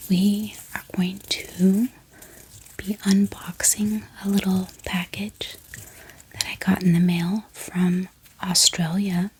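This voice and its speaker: A woman hosting an ASMR channel, speaking in a gentle, hushed whisper with pauses. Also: lowish voice, slight background noise, rough recording. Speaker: ASMR book channel host. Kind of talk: soft-spoken whispered ASMR.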